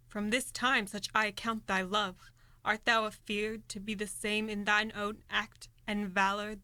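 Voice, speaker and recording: A young woman plays an ambitious, merciless noblewoman in a Shakespeare radio drama. The voice is bright, hard-edged and mid-pitched, declaiming verse with emphasis. The recording is dry. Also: no echo. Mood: scornful, challenging. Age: young adult. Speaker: student actor. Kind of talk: amateur Shakespearean radio play acting.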